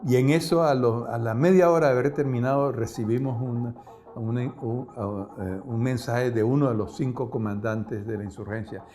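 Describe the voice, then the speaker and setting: An older man recalling past events in an interview, haltingly searching for words. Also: medium pitch, fairly even intonation, mellow. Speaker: interviewee, former guerrilla radio member. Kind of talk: spoken recollection in interview.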